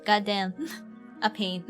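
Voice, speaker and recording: A woman livestreamer chatting casually and slangily as she plays a game, rambling along in a giggly, animated way. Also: medium pitch, slow pace. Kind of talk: casual livestream chat while playing a game. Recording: game music behind the voice. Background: video game music.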